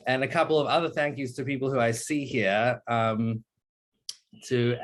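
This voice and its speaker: A young man, a PhD candidate, giving a halting presentation on a video call with many fillers. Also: medium pitch, steady tone. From born in South Africa, raised in New Zealand. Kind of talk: online meeting presentation.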